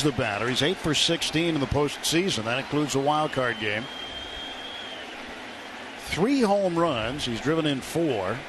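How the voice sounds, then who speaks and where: An American man doing live baseball play-by-play on radio in clipped descriptive phrases. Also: medium pitch. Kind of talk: live sports commentary. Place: outdoor ballpark broadcast booth. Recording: noisy live ballpark radio broadcast.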